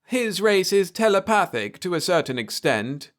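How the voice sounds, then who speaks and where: A gentle reptilian alien captain from a pulp sci-fi story, acted out by a male reader. The voice is raised in pitch and clear, soft and slightly slurred, with formal, measured courtesy. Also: sing-song. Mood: grave.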